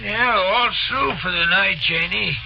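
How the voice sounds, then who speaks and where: Brief: older worried carnival worker, raspy, slow and folksy, lo-fi broadcast. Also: male voice, high voice, soft background music. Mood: warning. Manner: folksy, slow, says ain't. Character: older male carousel worker, gruff and worried. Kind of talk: 1940s radio acting. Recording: lo-fi 1940s radio broadcast.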